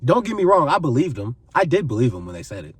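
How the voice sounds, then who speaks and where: Man in his 20s, husky voice with a wide swing in pitch, earnest repetitive advice-giver. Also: sing-song, quick pace, no echo, distant mic. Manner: pleading and repetitive, repeats phrases for emphasis. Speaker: vlogger giving life advice. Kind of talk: earnest direct-to-camera advice.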